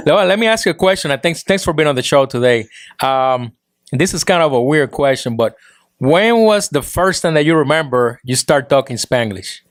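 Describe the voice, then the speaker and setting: A man's voice: on the high side, animated, normal speed. A man co-hosting a TV talk show, chatting upbeat and backing up the host. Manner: upbeat, agreeable, many short responses. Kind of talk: casual chat. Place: TV studio.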